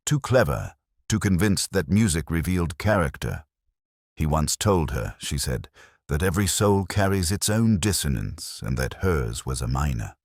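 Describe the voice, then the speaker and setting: A man narrating a scripted first-person detective story in a measured, formal, literary manner. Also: very deep voice, no room sound, studio quality.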